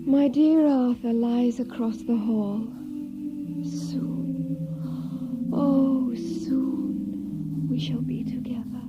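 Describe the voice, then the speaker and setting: An actress playing a sweet, trusting young gentlewoman in an audio drama, gentle, breathy and wistful. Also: high voice, fairly even intonation, drawn-out words, pauses often, loud music behind the voice. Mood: dreamy, wistful. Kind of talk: audio drama acting, diary narration. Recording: dull, muffled audio drama recording.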